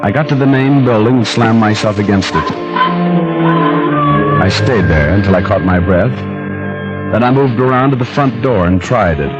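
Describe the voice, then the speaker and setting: A hard-boiled American detective played by a male actor in an old radio crime play. The voice sits low and the manner is dry and wry. Music runs strongly underneath. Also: lively intonation, moderate pace, long pauses, highs cut off, lightly acted. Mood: tense, brooding narration. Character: wisecracking tough male private detective. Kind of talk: 1940s-50s radio drama acting and first-person narration. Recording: old radio recording, organ-style music behind.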